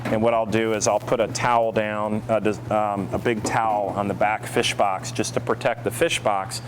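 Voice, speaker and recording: A man giving a casual product review as store staff, explaining informally with hesitant pauses. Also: on the low side, flat delivery. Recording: noticeable background hum and noise.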